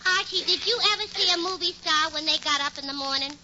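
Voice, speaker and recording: Woman playing brassy, high-pitched New gal. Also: fast talker, lightly acted. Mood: scornful. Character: brassy, skeptical, vain single woman. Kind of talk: 1940s radio comedy acting. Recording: noisy, dull 1940s radio recording.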